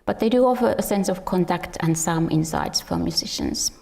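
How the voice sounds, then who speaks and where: A woman with a Finnish accent presents research in an academic lecture. Her voice sits a little low and carries plenty of vocal fry. She reads from prepared notes in a halting way, sometimes stumbling and repeating a word. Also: dark tone. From Finland. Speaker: researcher and musician presenting at a conference. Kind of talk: academic lecture, partly read from a script.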